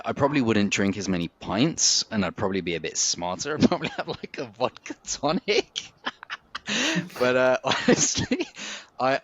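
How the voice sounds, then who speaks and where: A man, talking candidly and reflectively as a podcast co-host, laughing easily. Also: low voice. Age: 40s. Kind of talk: casual chat. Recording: dry, slightly dull audio.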